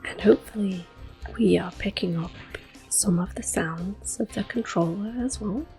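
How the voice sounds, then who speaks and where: A woman in her thirties giving soft-spoken ASMR gameplay commentary, often slipping into a whisper, close to the mic. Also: mid-range voice, normal speed, creaky, faint music behind. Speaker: ASMR gaming channel host.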